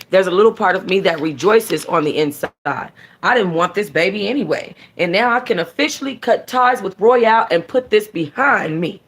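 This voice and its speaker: Sassy woman reading a story aloud. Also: mid-range voice. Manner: sassy, conversational, slangy. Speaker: book club host reading a novel aloud. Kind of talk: expressive first-person audiobook-style reading with casual asides.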